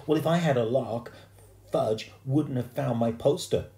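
An adult reader plays an earnest boy of about nine in a children's storybook. His voice is raised in pitch and exasperated. He sounds like he is protesting. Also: measured pace, gravelly, mellow, reverberant. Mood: insistent.

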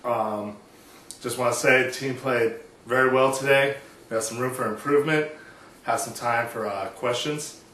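A man playing a coach at a press conference, speaking haltingly with many pauses and ums. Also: mid-range voice, fairly bright tone, recorded from a distance. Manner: halting, hesitant, full of ums. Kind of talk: comic press conference skit. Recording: echoey room, heard from afar.

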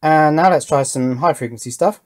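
A man's voice: a little high, normal speed, a little mellow, soft background music. Man thinking aloud at a workbench. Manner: thinking aloud, tentative and musing. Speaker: host of an electronics build channel. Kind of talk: casual hands-on demonstration commentary. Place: indoor workbench.

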